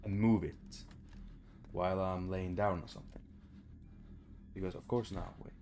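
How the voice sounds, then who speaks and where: Low-voiced young man muttering through gameplay. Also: steady tone, normal speed, creaky, darkish tone, slight background noise. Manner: muttering, frustrated, swears freely. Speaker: video game player and commentator. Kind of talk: casual gameplay commentary. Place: indoor room at home.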